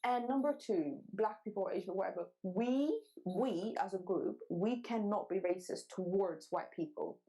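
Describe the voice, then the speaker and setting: A woman raised across the Netherlands, Sudan and Wales talks as a guest on a casual podcast, recorded over a video call. Her pitch sits mid-range, and she is animated and emphatic, swearing freely and jumping in mid-sentence. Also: moderate pace, hardly any pauses, poor audio.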